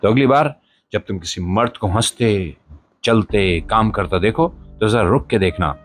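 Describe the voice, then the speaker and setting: A man narrates a scripted spoken-word monologue in a solemn, emphatic, rhetorical manner, with short pauses between phrases. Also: lowish voice, slight background noise. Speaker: podcast host and voiceover narrator. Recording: voice-over with music behind.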